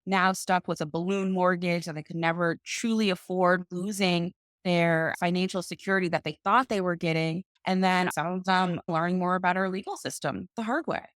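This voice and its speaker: A woman speaks in a lowish voice. She tells her story with confidence and slows down to stress key phrases. The sound is close and dry. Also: steady tone, no echo. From Boston, US. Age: 30s. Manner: confident, deliberate, slows for emphasis. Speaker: podcast guest, financial coach. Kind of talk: interview storytelling.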